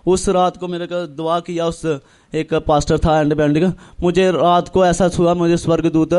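A young man from northern India tells his religious testimony earnestly, in halting, repetitive phrases, acting out remembered dialogue. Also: on the high side, level tone, darkish tone, clean tone. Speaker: church member giving testimony. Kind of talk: personal religious testimony, storytelling.

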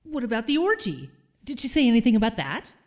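A woman's voice: on the high side, big pitch swings, fast, a little mellow. A woman playing a curt, demanding, sour small-town woman in an audio drama. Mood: suspicious, pressing.

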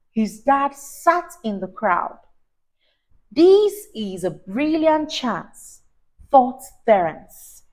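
A young woman reading a story aloud to a child, expressive and teacher-like, emphasising words and asking questions. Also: a little high, poor audio. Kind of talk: animated read-aloud to a child. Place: small indoor room, on a sofa. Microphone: clip-on lapel mic.

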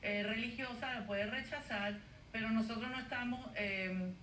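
A woman guest on a TV news interview, arguing her case in an earnest, measured way over a video call. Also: a little high, steady tone, a bit bright. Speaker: guest advocate. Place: home studio via video link. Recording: roomy, distant, faint noise, played off a TV.

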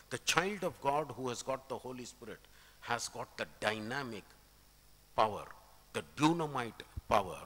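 A middle-aged man with an Indian accent preaches an expository sermon, explaining scripture with emphatic, deliberate phrasing. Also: mid-range voice, fairly bright tone. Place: indoor church, at a pulpit. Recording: reverberant church hall sound.

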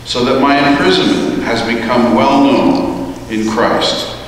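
A man serving as lector, reading scripture slowly and deliberately in a church. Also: medium pitch, a little hiss or hum. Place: large church sanctuary. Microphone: far room microphone. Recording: echoey church recorded from far off.

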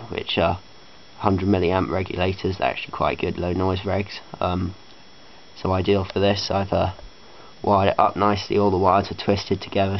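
A man giving a casual, unscripted technical demonstration, speaking hesitantly and matter-of-factly into a handheld camera indoors. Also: deep voice. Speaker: hobbyist electronics builder. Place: carpeted room indoors. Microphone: handheld camera microphone. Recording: dull lo-fi camera audio with faint noise.

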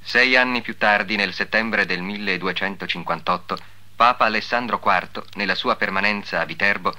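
A man's voice: on the low side, moderate pace, pushed and bright. A man narrating in a formal, declamatory 1950s devotional documentary style, with solemn delivery. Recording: old 1950s soundtrack, music behind.

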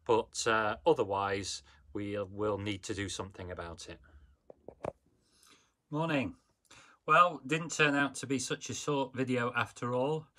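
Slow, unhurried man filming a boat vlog. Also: mid-range voice. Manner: relaxed, hesitant, many fillers. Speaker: sailing vlogger. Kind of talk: casual how-to vlog. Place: small boat cabin, indoors. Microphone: handheld phone camera.

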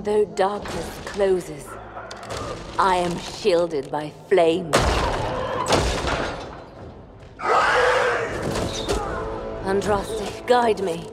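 A woman's voice: on the low side, very slow. A woman playing a grim, tortured spymaster in a video game, terse, bitter and fiercely resolute. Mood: solemn prayer. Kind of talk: dramatic video game acting. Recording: dramatic music underneath.

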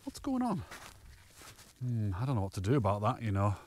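A man's voice: lowish voice, wildly swooping pitch, fairly quick, a little mellow, slight background noise. A man, chatting cheerfully to camera while hiking on an open fell top, often laughing. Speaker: hiking vlogger. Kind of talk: casual walking commentary to camera.

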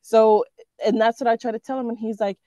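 A woman's voice: on the high side, steady tone, fairly quick, warm tone, no echo. A woman speaks up in a class held over a video call. Her audio sounds muffled, lo-fi and dry. She talks earnestly, stopping and restarting as she explains her views. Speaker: student. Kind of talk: casual class discussion.

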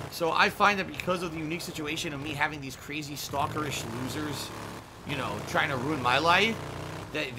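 A man's voice: a little high, background noise. A man in his thirties streaming a game from a small room on a headset mic, ranting and swearing in frustration. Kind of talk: live gameplay commentary. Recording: game sounds loud behind voice.

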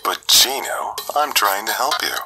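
A man voicing a sly, sadistic skeleton grim reaper in a comic dub, with a teasing, deadpan drawl. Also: fairly low pitch, a little slow, slight background noise. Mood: needling. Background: loud music.

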